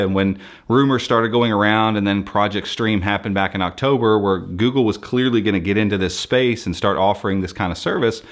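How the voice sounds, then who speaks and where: An American man with a fairly low voice talks to viewers as a tech presenter. He explains things off the cuff in a conversational style. His delivery is enthusiastic. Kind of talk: unscripted explainer commentary to viewers.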